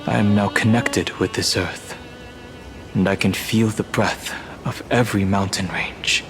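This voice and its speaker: An actor voicing a calm, reserved young man in a game cutscene, speaking in a measured, composed way. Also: fairly low pitch. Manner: measured, composed, matter-of-fact. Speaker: game character. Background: orchestral music.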